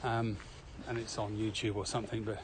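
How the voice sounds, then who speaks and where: A man's voice: fairly low pitch, fairly quick. Man chatting, faint noise behind. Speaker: podcast co-host. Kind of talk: casual conversational podcast chat. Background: steady ambient noise.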